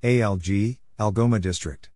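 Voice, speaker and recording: A man's voice: on the low side, normal speed, a little mellow, a little hiss or hum. A man giving a scripted instructional read-out, spelling letter by letter and speaking in short, isolated phrases. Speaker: Morse code practice instructor. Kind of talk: scripted read-out of spelled codes and place names.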